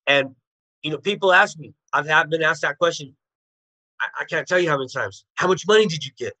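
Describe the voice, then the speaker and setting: Bright-voiced man telling a hard story. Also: fairly high pitch, brisk, dry recording, poor audio. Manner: blunt, plain-spoken storytelling with street slang. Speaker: interview guest. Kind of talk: telling his life story. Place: indoors, video call.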